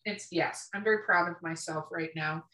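A woman's voice: on the low side, normal speed, bright, forceful tone, distant mic. Playful woman podcast host in an echoey room. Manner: playful, profane, rambling. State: tipsy from drinking. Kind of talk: casual, joking podcast chat with sponsor reads.

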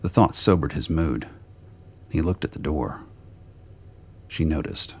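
A man narrates a scripted drama in an extremely deep voice. It is gravelly and husky, delivered as storytelling. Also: expressive, measured pace.

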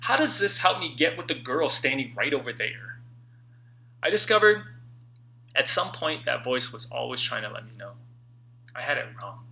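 A man narrating his own self-help book in an expressive, earnest and emphatic way, with dramatic phrasing and pauses. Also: mid-range voice, vocal fry, poor audio. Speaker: author reading own book.

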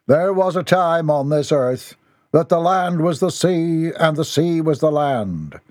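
A man's voice: on the high side, animated, a little slow, darkish tone, no echo, studio quality, pitched above the performer's own voice. A man voicing a solemn, ominous clan leader in a storybook, with a portentous, declamatory delivery. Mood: prophetic. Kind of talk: storybook character voice.

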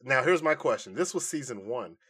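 A man's voice: medium pitch, expressive, brisk, fairly bright tone, breathy edge. A man co-hosting a podcast in a casual, chatty review style. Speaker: podcast co-host. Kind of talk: casual banter reviewing movies and shows.

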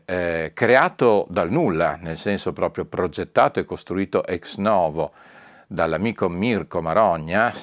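A man hosting an announcement for followers, speaking in a measured, discursive way with frequent asides. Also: low-pitched, no room sound, clean studio sound. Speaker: host and presenter.

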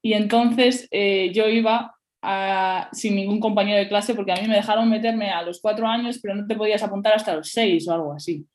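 A woman's voice: medium pitch, steady tone, fairly quick, hardly any pauses. A woman, a guest athlete chatting casually and full of fillers. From Madrid, Spain. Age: 20s. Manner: chatty, many fillers like "y tal", "en plan". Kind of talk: casual interview talk.

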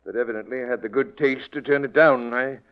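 A man's voice: medium pitch, steady tone, brisk, natural voice. A crotchety middle-aged American shopkeeper, played by a man, grumbling with dry disdain. The delivery is classic 1940s radio acting, heard through a very thin, narrow vintage recording. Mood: dryly scornful. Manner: curt, grumbling, dryly disdainful. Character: grumpy, sardonic older male bookshop owner.

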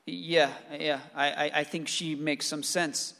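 Nervous, halting family man. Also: a little high, level tone, vocal fry, pushed and bright, heavily acted. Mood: timid, earnest. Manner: halting, stammering. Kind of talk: radio play acting. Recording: reverberant hall sound.

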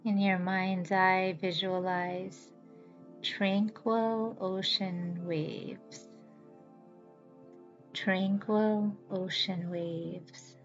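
A woman guiding a yoga nidra relaxation as host, with a soothing, measured manner and deliberate pauses. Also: medium pitch, fairly even intonation. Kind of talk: guided relaxation meditation. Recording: slightly dull audio with background music. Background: music.

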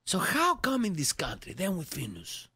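A man playing a fast-talking Greek immigrant with a put-on Greek accent and broken English, riffing in an improvised comedy podcast. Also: high voice, huge pitch swings, pushed and bright, slightly rough, no room sound, studio quality, heavily acted. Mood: bantering. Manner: broken English, exaggerated and sing-song. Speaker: co-host in character.

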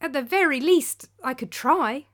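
A woman's voice: high-pitched, measured pace, fairly bright tone, pitched above the performer's own voice. A woman voicing an anxious young man who earnestly and urgently insists his friend is in danger.

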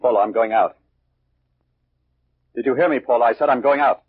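An actor playing a cold, domineering murderous husband in a 1940s radio drama, curt and bullying, on a muffled old recording. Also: male voice, mid-range voice, fairly quick, husky, no echo, natural voice. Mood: angry, commanding.

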